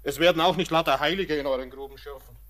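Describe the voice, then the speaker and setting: An actor playing a cheeky, pushy young blacksmith looking for work, brash and glib in a radio drama. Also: male voice, on the high side, fast talker, fairly bright tone, natural voice. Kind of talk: German radio play acting.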